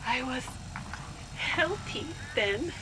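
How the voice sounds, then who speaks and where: Female game role: failing camp prisoner, high cracked voice, halting and frightened, over noisy rumble. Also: big pitch swings, exaggerated voice. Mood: weak, confused. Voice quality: creaky, coughing, halting. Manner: halting, struggling to remember. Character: sick, confused woman labor-camp prisoner, frightened and failing. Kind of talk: dramatic audio log acting. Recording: noisy audio log with engine-like rumble.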